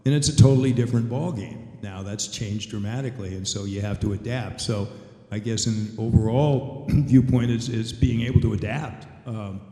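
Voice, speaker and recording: An older man talks to an audience in a plain, folksy, wry way, like a seasoned performer on a panel. His voice is very dark and mellow. It carries through an echoey hall with long reverb. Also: mid-range voice, fairly even intonation, normal speed. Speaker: veteran voice actor on a convention panel. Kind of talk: conversational advice to an audience. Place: convention panel room.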